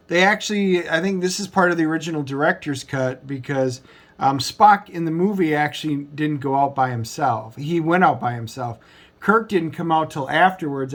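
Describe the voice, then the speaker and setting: Male video host: fairly high voice, informal, rambling review chat, unscripted. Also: fairly bright tone. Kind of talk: casual off-the-cuff review.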